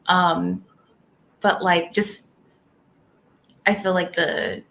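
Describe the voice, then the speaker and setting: A woman's voice: on the low side, level tone, very slow, gravelly, fairly bright tone, rough recording. A young woman from California whose first language was Tagalog, chatting as a podcast guest and rambling with many fillers. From California, United States. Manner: rambling, heavy on "like" and "um". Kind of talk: casual interview chat.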